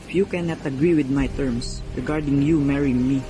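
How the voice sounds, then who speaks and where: A man's voice: medium pitch, fairly quick, over music, natural voice, low-quality recording. A man playing a boastful, jealous villain in an amateur radio drama, formal and menacing in manner. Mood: threatening. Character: boastful, jealous male villain with murderous intent.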